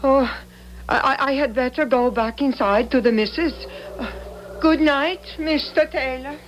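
An actress playing a timid, superstitious household servant in a 1970s radio drama, hesitant and deferential. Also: female voice, high-pitched, unhurried, muffled recording. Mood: fearful. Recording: hissy old radio recording.

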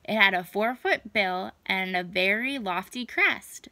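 A young adult woman reading a picture book aloud to children, expressive and deliberate, stretching words for emphasis. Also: medium pitch, dry recording. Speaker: children's story time reader. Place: small indoor room at home.

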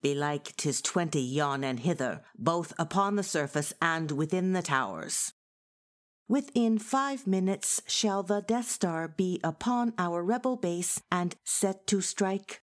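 A woman playing a steady rebel reporting on a battle in measured Shakespearean verse for an audio drama. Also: fairly low pitch. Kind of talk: dramatic reading in Elizabethan verse.

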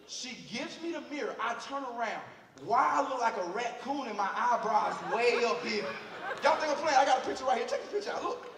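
A man's voice: very high-pitched, a bit bright. An American man in his thirties telling a comic story to an audience with energy, acting out dialogue and repeating phrases. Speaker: speaker at a church youth night. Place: large auditorium stage, picked up from the audience off a big screen. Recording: echoey hall, distant mic, faint noise.

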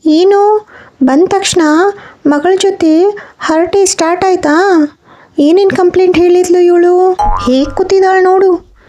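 A woman's voice: very high voice, fairly even intonation, a little slow, pauses often, warm tone. A woman voicing a mildly scolding, sarcastic young mother in a children's cartoon story, with a teasing, chiding manner.

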